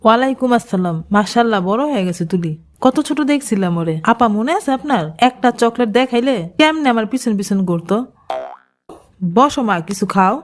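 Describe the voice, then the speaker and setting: A gushing visiting auntie in a cartoon voiced by a woman chatters quickly. Her voice is high and fast, with clear noise behind it. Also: animated, close to an everyday voice. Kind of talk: cartoon voice acting.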